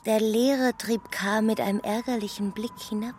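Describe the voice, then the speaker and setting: A woman narrating a literary radio play with deliberate, pausing phrasing. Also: a little high, fairly even intonation, loud music behind the voice.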